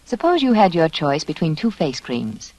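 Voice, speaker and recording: A woman's voice: sits low, sing-song, darkish tone, low-quality recording. Lilting woman reading vintage radio ad. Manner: measured, persuasive. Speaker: commercial spokeswoman. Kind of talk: scripted 1940s radio advertisement. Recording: vintage 1940s radio broadcast.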